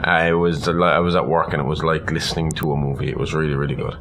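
A man's voice: very deep voice, flat delivery, fast talker, fairly bright tone. A man co-hosting a podcast, recapping a film story in an informal, casual way. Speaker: podcast co-host. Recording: clear background room noise.

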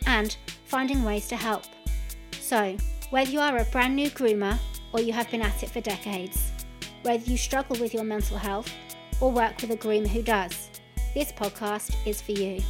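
A woman hosting a podcast, reading a scripted intro and interviewing guests in a measured, encouraging way. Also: fairly high pitch. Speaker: podcast host, dog groomer.

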